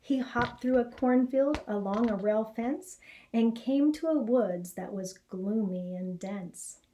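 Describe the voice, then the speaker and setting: An American woman in her forties reading a children's picture book aloud with expressive storytelling. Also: on the high side, slow, a little hiss or hum, poor audio. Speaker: elementary school educator reading aloud. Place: small indoor classroom or office.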